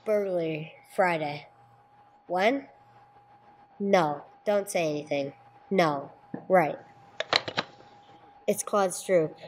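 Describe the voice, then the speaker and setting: A woman's voice: on the low side, extremely slow, pauses often, darkish tone, slight background noise, rough recording. A woman playing a terse, dogged police sergeant who narrates a case in a radio play, in a clipped, matter-of-fact way.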